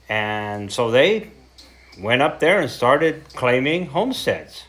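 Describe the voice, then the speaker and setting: A man's voice: mid-range voice, a bit bright. An older man from Idaho, explaining log building in a folksy, emphatic way, recorded outdoors by a cabin and indoors in a wooden room. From central Idaho, USA. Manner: folksy, emphatic teacher, gesturing at logs. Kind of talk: explaining outdoors, then interview storytelling.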